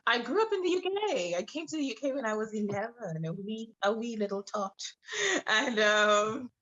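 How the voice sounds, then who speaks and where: A woman in her thirties from Trinidad, a podcast guest telling her personal story with many hesitant pauses. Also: fairly high pitch, animated, a bit bright. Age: 30s. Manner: hesitant, many filler pauses, laughs between thoughts. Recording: muffled lo-fi audio.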